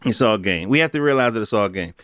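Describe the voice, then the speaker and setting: A man's voice: on the low side, lively intonation, quick pace, a bit bright, no echo, studio quality. A man hosting a podcast, giving a casual, unscripted opinion rant in informal, slangy speech with some swearing and questions thrown at his listeners. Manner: rhetorical questions addressed to listeners. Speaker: podcast host.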